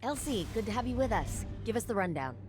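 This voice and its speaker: A woman's voice: fairly high pitch, very animated, quick pace. A woman playing a breezy, resourceful pilot and scientist in a video game, informal and wry. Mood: earnest. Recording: loud music behind the voice.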